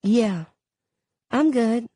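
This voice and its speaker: A woman's voice: a little high, lively intonation, drawn-out words, warm tone, no echo, studio quality, pitched above the performer's own voice, heavily acted. A woman voicing a guarded, grieving teenage girl who speaks in a subdued mutter in an audiobook. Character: guarded, grieving teenage girl, quietly holding back tears.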